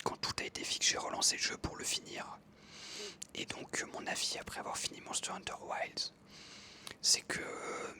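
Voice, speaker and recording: Whispering ASMR creator, bright and breathy. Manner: whispering throughout, hesitant pauses with frequent self-corrections. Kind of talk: whispered ASMR game commentary.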